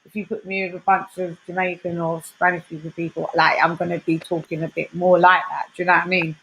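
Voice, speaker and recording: Bright-voiced London woman chatting. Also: fairly low pitch, level tone, fast, a little hiss or hum, rough recording. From West London. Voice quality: chatty, reading out comments. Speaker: livestream host. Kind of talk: casual chat.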